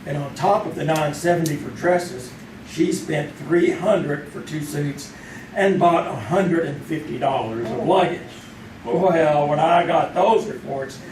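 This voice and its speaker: A man's voice: on the high side, natural voice. Police captain, male, played by a stage actor: aggrieved, emphatic, sarcastic, old-time radio style, distant echoey theater recording. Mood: matter-of-fact, smug. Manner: sarcastic, emphatic, drawn-out delivery. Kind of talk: old-time radio drama acting, performed live. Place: theater stage, performed live. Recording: distant, echoey live stage, faint noise.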